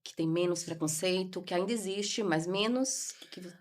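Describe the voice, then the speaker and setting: A woman hosting a podcast interview, asking questions softly and adding short interjections. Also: lowish voice, normal speed. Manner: soft brief prompts and interjections. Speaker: podcast host. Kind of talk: conversational interview.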